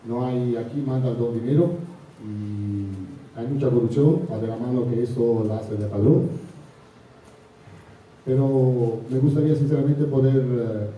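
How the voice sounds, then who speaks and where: A man from Italy with an Italian accent, an invited chef making an earnest, halting remark at a panel discussion. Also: mid-range voice, dark tone, slight background noise. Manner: earnest, halting, apologetic about his Spanish. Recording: echoey hall picked up from far off.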